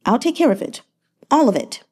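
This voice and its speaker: Woman voicing a young male merchant, fawning, lilting, wheedling, pitched up, lively. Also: fairly quick, slightly rough. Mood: coaxing. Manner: lilting, wheedling, full of little interjections.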